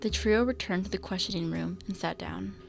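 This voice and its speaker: A woman's voice: lowish voice, brisk, vocal fry. A woman narrating a scripted radio mystery drama as a composed storyteller.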